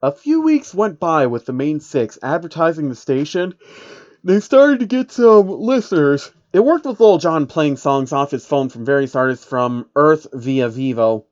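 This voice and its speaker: A man reading stories aloud as an amateur narrator, with an informal and somewhat halting delivery. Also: fairly high pitch, lively intonation, darkish tone. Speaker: host reading fan fiction aloud. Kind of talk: amateur story narration with casual commentary. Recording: dull, lo-fi microphone sound.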